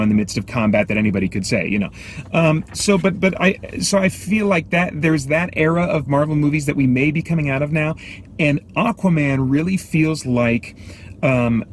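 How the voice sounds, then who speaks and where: A man giving a casual, unscripted movie review as host, speaking haltingly with pauses and asides while sitting in a car. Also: medium pitch. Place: inside a car. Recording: light background noise inside a car.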